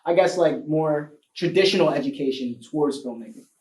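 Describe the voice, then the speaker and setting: A man's voice: a little high, a little fast, darkish tone, reverberant, a little hiss or hum, rough recording. A man hosting a talk show, asking short questions and giving brief affirmations. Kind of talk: interview hosting.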